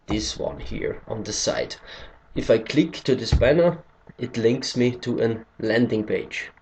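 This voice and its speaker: A man with an Austrian German accent talks slowly through a computer tutorial, pausing often to find his English words. His voice sits at an ordinary middle pitch. Faint noise and mouse clicks sit under his speech. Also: a little mellow. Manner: hesitant, searching for English words. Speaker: tutorial maker. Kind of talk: screen-recorded tutorial.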